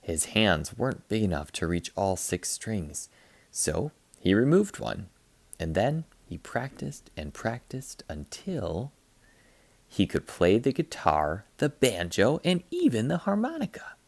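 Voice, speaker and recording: A man reading a children's picture book aloud as a teacher, with animated, dramatic emphasis. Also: lowish voice, unhurried, vocal fry, no echo. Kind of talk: expressive children's read-aloud narration.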